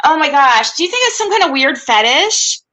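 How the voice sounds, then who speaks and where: A woman guest on a livestream panel speaks in a high, bright voice that swoops up and down. She talks slowly and haltingly, with lots of fillers. She giggles often. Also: sing-song. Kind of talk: casual panel chat.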